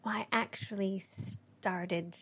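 A Canadian woman in her thirties, a ballet dancer interviewed in a theatre, speaking softly with hesitant ums and easy laughs. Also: mid-range voice, fairly even intonation, slow, slightly rough. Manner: soft-spoken, hesitant with many ums, laughs easily. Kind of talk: casual interview. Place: theatre in Leeds.

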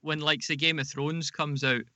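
A man's voice: fairly high pitch, level tone, moderate pace, sharp tone, no room sound. A man speaking casually as a podcast guest, in a wry, self-deprecating way. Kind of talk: casual chat.

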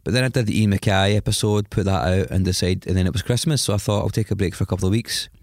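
A man's voice: deep voice, fast talker, a little mellow, no room sound, clean studio sound. Sniffly Scottish man hosting a podcast. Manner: chatty, self-deprecating, rambling. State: has a cold, sniffly. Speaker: podcast host. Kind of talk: solo podcast intro monologue.

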